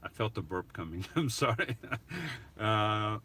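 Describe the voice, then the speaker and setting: A man's voice: on the low side, lively intonation, measured pace, sharp tone, a little hiss or hum. A middle-aged man with a foreign accent, chatting casually with his live-stream viewers and often restarting his sentences with small laughs. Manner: rambling, chuckling, many restarts. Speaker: YouTube channel host answering live chat.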